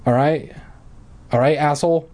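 A man's voice: a little high, moderate pace, darkish tone, voice pushed up, lightly acted. A man voicing a busy, impatient suburban dad, by turns placating and curt, with a foul mouth. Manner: placating, then curt and sweary.

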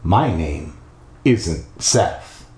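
A cold storybook ghost boy played by a man, menacing and soft-spoken. He takes his time over each word, with the echo of the room around him. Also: on the low side, animated. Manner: soft, slow, menacing delivery. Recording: roomy echo.

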